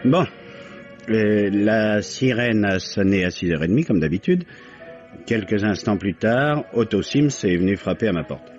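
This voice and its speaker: A man's voice: fairly low pitch, animated, unhurried. An actor playing a polite, evasive businessman under questioning in a vintage French radio mystery, flustered and deferential. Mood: hesitant, recounting. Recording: dull old radio recording, music underneath.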